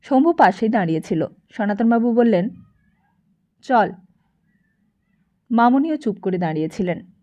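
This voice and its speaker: A woman's voice: on the high side, animated, a little fast, warm tone, highs cut off. A woman narrator reading a novel aloud as a dramatised audiobook.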